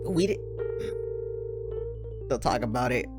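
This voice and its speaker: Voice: mid-range voice, expressive, slow, over music. A stream host making casual chat, chatty and giggly, asking teasing questions.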